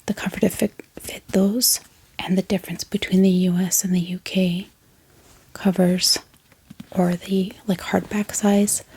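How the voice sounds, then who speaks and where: A woman speaking in a hushed, breathy whisper, gently explaining things in an ASMR style. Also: lowish voice. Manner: soft, hushed, unhurried explaining. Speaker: ASMR book haul host. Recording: clear background hiss, handling clicks.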